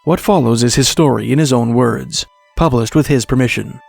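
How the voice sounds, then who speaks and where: Gravelly man narrating eerie tales. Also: mid-range voice, moderate pace, a little mellow, no echo. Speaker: narrator, storyteller. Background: music.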